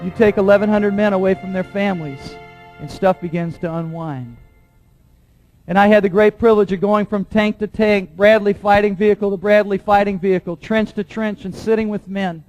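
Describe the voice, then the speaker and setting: A man's voice: high-pitched, darkish tone, poor audio. A male military chaplain telling first-hand stories with a rhetorical, repetitive, impassioned cadence.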